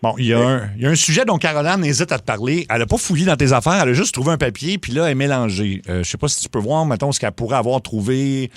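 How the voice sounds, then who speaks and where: A man's voice: medium pitch, animated, no room sound. A man with a Québécois accent co-hosting a radio show and running a prank call. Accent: Québécois French. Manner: fast-talking, quick and chatty. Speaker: radio co-host.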